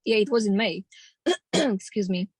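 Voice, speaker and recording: A woman in her thirties with a Polish accent, speaking English as a second language. She talks as a boxer in an interview, plain and determined, at a middle pitch. The sound is close and dry, with no room echo. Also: lively intonation, a little slow, darkish tone, slightly rough. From Poland. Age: 30s. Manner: plainspoken, determined, non-native grammar. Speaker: professional boxer. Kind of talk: casual interview.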